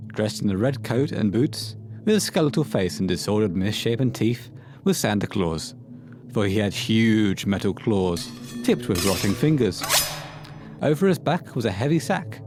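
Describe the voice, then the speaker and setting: A man narrating a scripted horror story for an audio play in a measured, literary storytelling manner. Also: fairly low pitch, animated, darkish tone, faint music behind, natural voice.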